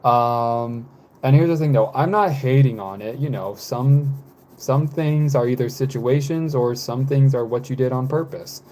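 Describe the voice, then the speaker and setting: A man in his twenties chatting casually with live viewers, rambling and hesitant, with many fillers, self-corrections and soft laughs. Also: mid-range voice, unhurried. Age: 20s. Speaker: live streamer.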